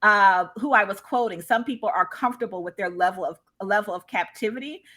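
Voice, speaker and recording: A woman's voice: medium pitch, brisk, a bit bright. A woman speaking as a guest in a live discussion, conversational and emphatic, often checking in as she shares her experience. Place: live online video call.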